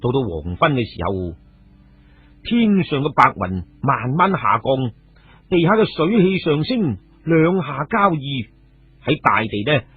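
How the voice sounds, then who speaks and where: A man's voice: on the high side, breathy edge, dry recording. A man with a Cantonese accent narrating a scripted story dramatically, as a radio storyteller. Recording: phone-like, muffled radio audio with music. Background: background music.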